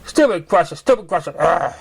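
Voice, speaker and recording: A shy young stallion from a pony storybook, played by a man, talks in a raised pitch. He is awkward and self-conscious, close to an everyday voice. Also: lively intonation, a little fast, vocal fry, husky, exaggerated voice. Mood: flustered.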